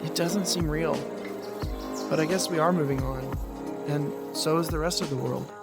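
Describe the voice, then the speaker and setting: A man's voice: on the high side, moderate pace, vocal fry, over loud music. A man acting an earnest, hopeful and grateful brother in a naturalistic audio drama.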